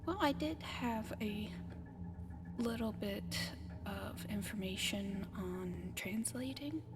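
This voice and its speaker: A woman voicing a gentle female alien who haltingly searches for human words in an ASMR roleplay addressed to the listener. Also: mid-range voice, natural voice. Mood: reassuring, halting. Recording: clearly noisy background ambience.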